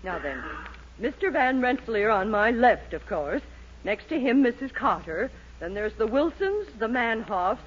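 A woman's voice: fairly high pitch, very animated, a little slow. An actress playing a snobbish, fussy middle-aged mother, imperious and melodramatic, in a 1940s radio comedy. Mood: brisk, businesslike. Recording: muffled 1940s radio recording, faint noise.

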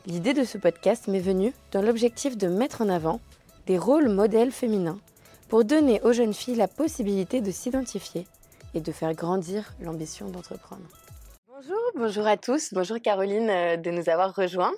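A woman's voice: medium pitch, moderate pace, mellow, soft background music. A woman hosting a podcast, asking questions and giving short acknowledgments. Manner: brief prompts and frequent acknowledgments. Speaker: podcast host. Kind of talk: podcast interview.

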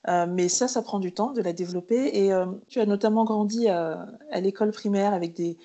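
A woman's voice: medium pitch, a little fast, dark tone. A woman hosting a podcast, asking long, engaged, curious questions. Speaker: podcast host. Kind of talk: podcast interview.

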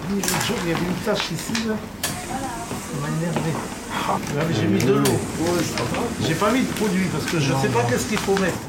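A woman's voice: fairly low pitch, expressive, moderate pace, pauses often. A woman talking casually in an everyday exchange, like a customer at a shop counter. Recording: distant, echoey, very noisy location sound.

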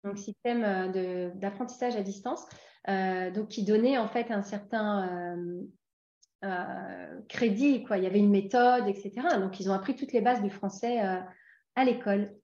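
A woman in her forties from France, a guest talking casually in an interview and pausing often with fillers and restarts. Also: medium pitch, fairly even intonation, darkish tone, roomy sound, lo-fi sound. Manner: hesitant, many fillers and restarts.

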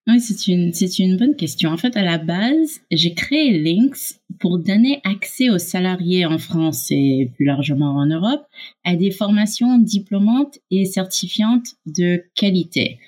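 A woman with a North American English accent speaks as a guest on an interview podcast. She is middle-aged and her voice sits low. She explains fluently and at length, with occasional fillers. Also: animated, measured pace, a little mellow. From Canada and USA. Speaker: guest, startup co-founder.

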